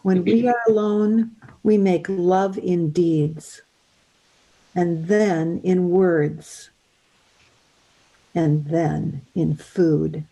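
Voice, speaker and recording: A woman recites a poem in a measured way. Her voice is slightly low and mellow, and she takes her time over the words. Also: steady tone, creaky, low-quality recording. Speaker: reader. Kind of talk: measured poetry reading.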